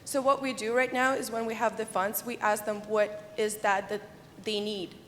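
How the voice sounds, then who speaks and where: Higher-voiced young woman in a reverberant hall. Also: level tone, moderate pace, fairly bright tone. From Ukraine. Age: young adult. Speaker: student presenter pitching a venture. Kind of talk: rehearsed pitch and question answering. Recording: reverberant hall, faint noise.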